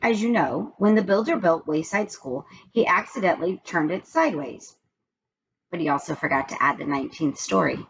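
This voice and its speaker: A woman reading a children's book aloud in an expressive storytelling style. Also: fairly low pitch, moderate pace. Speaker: storybook reader. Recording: dull lo-fi recording with background noise.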